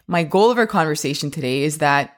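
A woman's voice: low-pitched, lively intonation, fast talker. A woman hosting a parenting science podcast, reading the intro and sponsor thanks, then opening the interview with enthusiastic questions. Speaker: podcast host.

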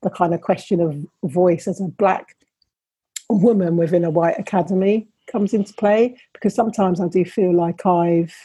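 Woman, middle-aged, husky, dark mellow tone, thoughtful, measured, dry close recording. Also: on the low side, steady tone, dry recording, low-quality recording. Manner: thoughtful, measured, frequent restarts. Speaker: academic guest. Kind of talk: reflective interview conversation.

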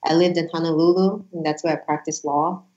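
A woman in her forties, a Russian-speaking immigrant from Uzbekistan, sharing her story as a guest in an earnest, animated way. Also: low-pitched, fairly quick, roomy sound, muffled recording. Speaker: guest, former attorney. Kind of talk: casual interview chat.

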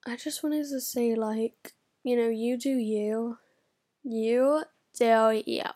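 A woman's voice: fairly high pitch, fairly even intonation, slow pace, dark tone. Chatty swearing teen girl. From United Kingdom. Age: teens. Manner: rambling, swearing, whispering asides. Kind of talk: casual vlog chat.

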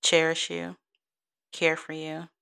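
A woman's voice: low-pitched, completely flat delivery, slow pace, gravelly, pushed and bright, smooth. A woman, hosting a rambling, giggly solo podcast in a soothing voice. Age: 30s. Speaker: podcast host. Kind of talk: casual solo podcast chat.

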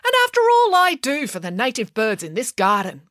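A woman voicing an excitable, indignant middle-aged mother who tells tall tales in an audiobook, with dramatic emphasis. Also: fairly high pitch, brisk, no room sound, clean studio sound, voice pushed up. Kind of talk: audiobook character dialogue.

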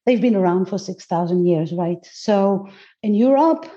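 A woman's voice: medium pitch, expressive, moderate pace, muffled recording. A woman from Greece, whose first language is not English, chatting as an interview guest about her work, often restarting and laughing as she finds her words. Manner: warm, searching for words, frequent restarts and laughs. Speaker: guest, goddess teacher and coach. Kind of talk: casual interview chat.

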